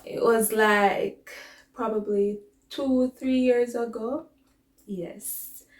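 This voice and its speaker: A woman's voice: fairly high pitch, steady tone, drawn-out words. A young woman with a Caribbean accent chats casually to her viewers as a vlogger, telling a story in an informal, digressive way. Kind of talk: casual storytime chat to camera. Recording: echoey, roomy sound.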